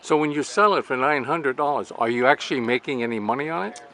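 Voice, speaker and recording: A man's voice: mid-range voice, fast talker, a bit bright. A man hosting and interviewing in a casual, plain-spoken, conversational way, recorded on location outdoors. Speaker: host and interviewer. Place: outdoors at a busy swap meet.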